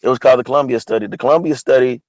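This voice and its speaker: A man with a partly lost, hoarse voice, talking casually about history as host of an online show, often asking "you know what I'm saying?". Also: mid-range voice, rapid-fire, darkish tone, dry recording, lo-fi sound. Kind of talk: casual history talk monologue.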